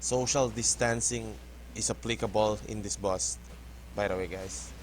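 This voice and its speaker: A man from the Philippines with a Filipino accent, English not his first language, giving halting, hesitant commentary over a game tutorial. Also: lowish voice, fairly even intonation, slight background noise, poor audio. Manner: hesitant, halting, restarts words often. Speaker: gaming tutorial host. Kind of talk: casual gameplay commentary.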